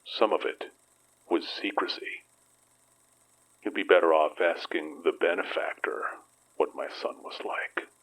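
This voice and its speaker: A low, husky, grieving father. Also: fairly even intonation, full character voice, rough recording. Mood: weary regret. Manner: halting, weary, hushed. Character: grieving father haunted by his lost son. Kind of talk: intimate audio drama acting.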